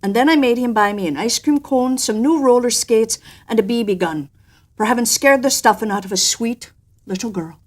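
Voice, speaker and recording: A woman's voice: fairly high pitch, normal speed, lightly acted. A sweet but cheeky little girl, acted by a woman, telling her own story. She is dramatic and folksy, with exclamations, in a storybook recording. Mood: sympathetic, cheeky.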